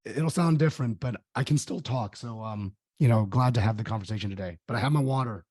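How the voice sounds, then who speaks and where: A man hosting a podcast interview, his voice hoarse, speaking in a friendly, easygoing way. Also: mid-range voice, fast, darkish tone, no room sound, studio quality. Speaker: podcast host.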